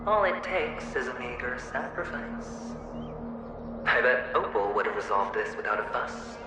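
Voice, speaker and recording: A man plays a taunting, theatrical tormentor from a video game, needling with insinuation. His delivery slides into breathy drops. The voice rings with heavy echo over loud music. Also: mid-range voice, animated, unhurried, lightly acted. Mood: mocking. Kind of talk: dramatic video game voice acting. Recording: very echoey, loud music behind.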